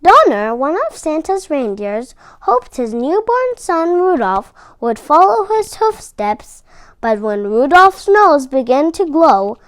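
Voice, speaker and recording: A woman reading a children's story aloud with expressive, deliberate delivery and dramatic emphasis. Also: sits high, no echo.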